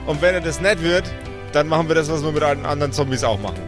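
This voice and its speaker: A man's voice: fairly high pitch, expressive, rapid-fire, over music. A man playing in an improvised tabletop role-playing game, chatting casually in a joking, colloquial way.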